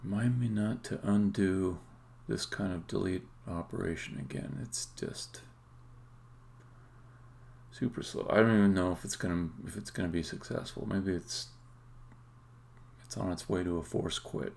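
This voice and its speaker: Male game developer streamer, deep and heavily creaky, casual muttered think-aloud, hesitant phrasing. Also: darkish tone, slight background noise. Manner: halting, muttering to himself while working. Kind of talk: casual think-aloud live-coding commentary.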